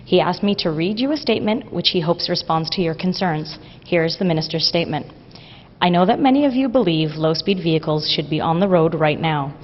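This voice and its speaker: A woman, a press secretary reading a prepared statement in a formal, measured way in an office hallway. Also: fairly low pitch. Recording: lo-fi with long reverb and faint hiss.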